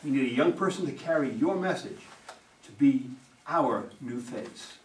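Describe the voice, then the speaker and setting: Distant, animated, brash campaign manager played by a man. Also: medium pitch, moderate pace, lightly acted. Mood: persuasive. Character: brash male political campaign manager, cynical and pushy. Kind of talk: amateur staged play reading. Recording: distant, echoey room, faint noise.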